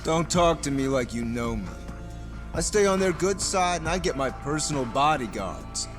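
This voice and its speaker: A man's voice: on the high side, lively intonation, moderate pace, over music, close to an everyday voice. Man playing a smug teenage delinquent. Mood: smug, menacing. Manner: boastful, slangy, cocky. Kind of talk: video game cutscene English dub acting.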